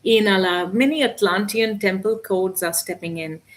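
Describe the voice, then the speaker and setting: Gentle woman, soft unhurried guidance. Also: medium pitch. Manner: soft, gentle, unhurried with many hesitant fillers. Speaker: spiritual channeler hosting a live stream. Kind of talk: live-streamed spiritual guidance.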